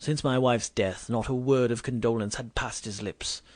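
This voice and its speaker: A man's voice: mid-range voice, steady tone, moderate pace, dry recording, clean studio sound, natural voice. A man playing an indignant, self-important Victorian doctor in an audio drama, pompous and theatrical in formal phrasing. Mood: aggrieved. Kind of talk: audio drama acting and dramatic first-person narration.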